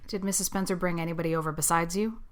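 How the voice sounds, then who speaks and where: A woman voicing a stern older farm woman in an audiobook, curt, brisk and unsentimental. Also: on the low side, fairly even intonation, very noisy, close to an everyday voice. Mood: brisk, demanding. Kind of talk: audiobook character acting.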